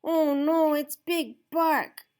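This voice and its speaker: Woman doing squeaky, excitable little prairie dogs. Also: takes it slowly. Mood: alarmed. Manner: squeaky, breathless exclamations. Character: excitable, nervous crowd of small prairie dogs.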